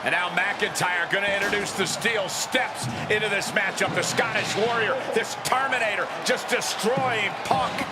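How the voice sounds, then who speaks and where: A man's voice: high-pitched, normal speed, hardly any pauses, loud music behind the voice, lightly acted. A man playing a wrestling play-by-play commentator, calling moves in an emphatic, exclamatory way. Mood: intense. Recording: loud crowd noise and ambience.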